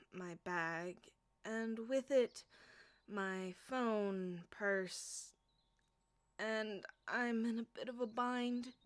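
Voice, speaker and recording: Soft-spoken teasing woman, slow and close. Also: medium pitch, dry recording. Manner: playful, teasing, often whispering close to the mic. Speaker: voice actress performing an audio roleplay. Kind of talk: flirty ASMR roleplay.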